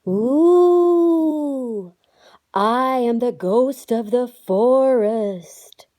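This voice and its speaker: Very slow, mellow woman as a scout leader bear. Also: on the high side. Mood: spooky. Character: confident, sensible, playful bear scout leader, female. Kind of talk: children's storybook read-aloud.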